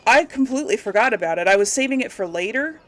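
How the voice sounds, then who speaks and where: A woman's voice: fairly high pitch, lively intonation, quick pace. A woman hosting a let's play, talking informally to her viewers and thinking aloud as she goes. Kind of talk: casual gaming commentary to viewers.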